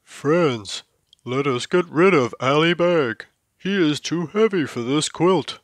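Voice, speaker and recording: A man voicing a persuasive, plotting camel in a children's story, with a deliberate, declaiming delivery. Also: fairly high pitch, expressive, no echo, studio quality.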